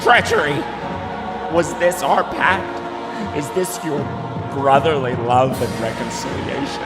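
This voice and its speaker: A grave, anguished father from classical tragedy played by a man, declaiming slowly and grandly in halting verse. The voice rings through a long hall reverb with music clearly playing underneath. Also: a little high. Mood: bitter, grieving. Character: anguished ailing father, estranged brother of a tyrant king.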